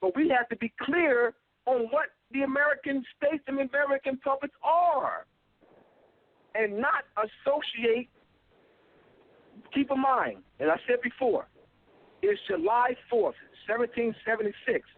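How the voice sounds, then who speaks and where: A man's voice: very high voice, animated, unhurried, long pauses. Insistent man lecturing over a tinny phone line. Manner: insistent, repetitive, many filler ums and uhs. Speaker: guest speaker. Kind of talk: call-in lecture, talking to a host.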